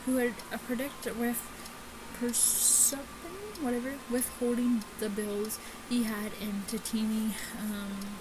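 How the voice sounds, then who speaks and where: A woman hosting a casual let's play, reading game text aloud in a halting way with small stumbles. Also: fairly high pitch, fairly even intonation. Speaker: gaming video host. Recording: clearly noisy home recording.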